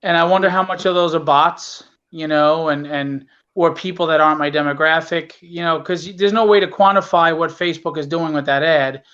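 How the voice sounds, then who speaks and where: A man's voice: fairly high pitch, steady tone, a little fast, few pauses, a bit bright, smooth, dull audio. A middle-aged man talking casually and bluntly as an interview guest, earnest and emphatic about his work as an indie publisher. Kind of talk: casual conversational talk.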